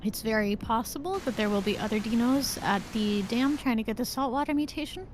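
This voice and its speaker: A woman giving soft, brief replies from off-mic. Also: a little high, brisk, slight background noise.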